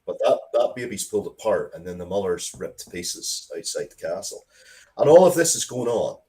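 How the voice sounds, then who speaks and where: A man's voice: lowish voice, expressive, fairly quick, a little mellow, poor audio. A man speaking as an interview guest, telling stories in an emphatic, rambling way with frequent restarts. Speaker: guest, writer of Dracula media. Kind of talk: animated interview talk, storytelling.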